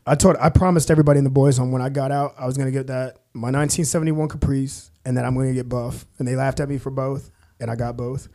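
A young man from Kansas, a podcast guest telling his life story in a casual, halting way, full of fillers and restarts. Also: medium pitch, fairly even intonation, warm tone. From Kansas, USA. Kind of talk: casual conversational interview.

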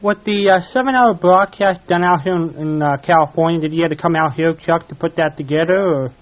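Guy hosting radio, slightly high voice, casual questions, tinny narrow sound. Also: fairly quick. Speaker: radio show host. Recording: thin phone-like radio sound.

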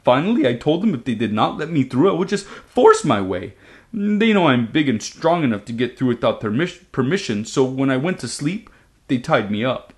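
A man voicing a big, gentle male dragon who sniffles and sobs. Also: medium pitch, big pitch swings, normal speed, natural voice. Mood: complaining. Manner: sniffling, sobbing, pleading.

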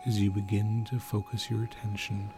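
A man narrating a guided sleep meditation in a soothing manner, leaving long pauses. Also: fairly low pitch, little pitch movement, creaky, music in the background.

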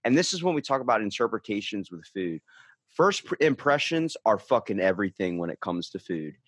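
A man hosting a podcast, telling stories in an animated, profane way. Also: on the low side, fairly quick, breathy edge, dry recording. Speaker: podcast host. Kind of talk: casual chat.

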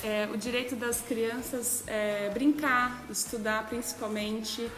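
A woman's voice: on the high side, steady tone, slow, roomy sound, over music, poor audio. A woman in her twenties who assists a school's coordinators, answering children's questions and listing points with small hesitations. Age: 20s. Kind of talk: school project interview.

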